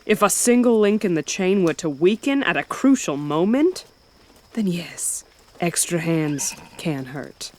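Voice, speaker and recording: A woman playing a haughty elven knight commander in a fantasy audio drama, speaking in a measured, formal, imperious way. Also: on the low side, animated, a little mellow. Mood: haughty, controlled.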